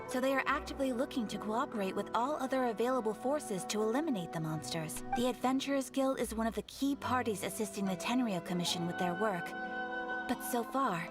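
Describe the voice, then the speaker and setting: Woman doing a prim polite guild clerk, kinda high, music under it. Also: close to an everyday voice. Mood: formal. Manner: polite, measured, formal. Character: formal adult woman guild receptionist. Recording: game audio with music behind.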